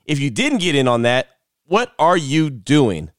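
Higher-pitched man reading a podcast ad. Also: big pitch swings, a little slow, dry recording, studio quality. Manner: casual, salesy. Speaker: podcast host. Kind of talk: podcast ad read.

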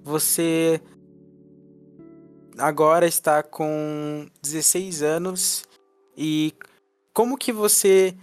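A young man in his twenties hosts a loose, joking podcast chat and rambles on. His voice sits a little on the high side. Faint music plays underneath. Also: steady tone, drawn-out words, long pauses, smooth, no echo. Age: 20s. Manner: rambling, joking, laughs easily. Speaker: podcast host. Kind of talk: casual chat.

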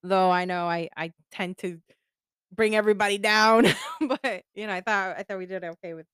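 A woman podcast co-host from Puerto Rico with a smooth, resonant voice. She talks casually and laughs often, switching into Spanish now and then. The sound is close and dry. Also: mid-range voice, steady tone, moderate pace, a bit bright, no room sound. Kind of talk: casual chat.

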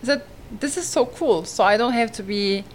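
A woman in her thirties, originally from Turkey with a Turkish accent and Turkish as her first language, talking in a podcast interview as a real estate agent in earnest, halting phrasing. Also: fairly high pitch, a little hiss or hum.

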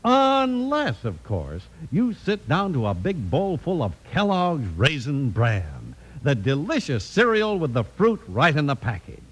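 A man narrating and pitching the sponsor as a vintage radio announcer, with a folksy, polished delivery. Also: wildly swooping pitch, a little slow, few pauses, husky.

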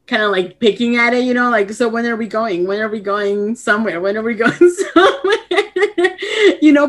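A young woman hosting a podcast, affirming her guest and thinking aloud about family and immigration. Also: medium pitch. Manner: warm affirming backchannels, thoughtful. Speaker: podcast host. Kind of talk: casual conversation.

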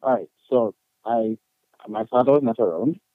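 A man's voice: medium pitch, moderate pace, no echo, narrow, tinny audio. A man with a Jamaican accent telling personal stories as an interview guest, candid and emphatic in colloquial speech. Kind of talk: personal storytelling in conversation.

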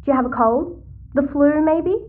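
A woman's voice: sits high, normal speed, phone-quality sound, lightly acted. An actress playing a chatty, cheeky, nosy teenage girl in an audio sci-fi drama. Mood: teasing. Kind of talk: audio-fiction drama dialogue.

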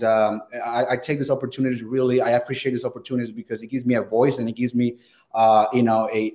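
A man's voice: medium pitch, a little mellow. A young man from Venezuela with a Venezuelan Spanish accent, a native Spanish speaker, telling his story eagerly as a podcast guest and often restarting his words. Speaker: guest, real estate sales professional. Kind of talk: casual podcast interview.

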